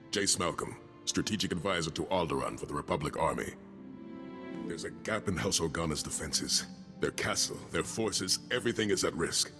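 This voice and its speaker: An actor playing a stern, seasoned army colonel in a video game, middle-aged, with clipped and authoritative delivery. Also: male voice, sits low. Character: gruff seasoned male army colonel, stern strategic advisor. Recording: music behind the voice.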